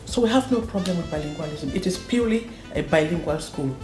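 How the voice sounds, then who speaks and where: Earnest low-voiced woman in a roomy space. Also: expressive, quick pace. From northwest Cameroon. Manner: earnest, emphatic, repeats words for stress. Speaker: school proprietor and educator. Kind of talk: interview answers. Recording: roomy echo with faint music.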